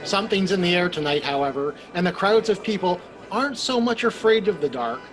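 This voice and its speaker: A man's voice: sits high, lively intonation, brisk, music in the background, rough recording. A man playing a sly, ominous, droll host of an old-time suspense radio play, narrating theatrically.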